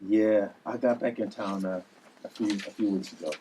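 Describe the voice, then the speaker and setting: A woman reading the part of an awkward, polite, recovered relative with a halting delivery in a drama table read. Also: rumbling low, fairly even intonation, dark tone, slight background noise, poor audio.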